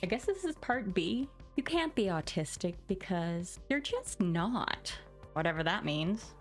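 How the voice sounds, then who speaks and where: A woman giving a dramatic reading with her own commentary in an animated, sardonic manner. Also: fairly low pitch, unhurried, soft background music. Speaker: video host.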